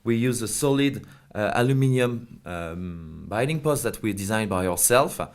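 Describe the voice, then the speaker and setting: A man's voice: fairly low pitch, lively intonation, normal speed. Man explaining a product. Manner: hesitant non-native English phrasing. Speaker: company representative being interviewed.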